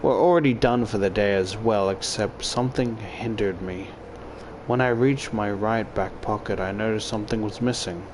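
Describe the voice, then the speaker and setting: A man's voice: lowish voice, normal speed, noisy background. A man reading first-person visual novel narration aloud as a YouTuber.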